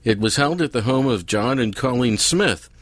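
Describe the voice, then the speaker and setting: A man's voice: medium pitch, lively intonation, moderate pace, no echo. Man presenting radio, dry close sound. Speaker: radio show host. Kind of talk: scripted narration.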